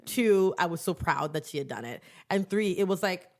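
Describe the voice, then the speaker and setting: Chatty young woman, lowish voice. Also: normal speed. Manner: animated storyteller, many "like" fillers. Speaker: podcast co-host; teacher. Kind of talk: casual chat.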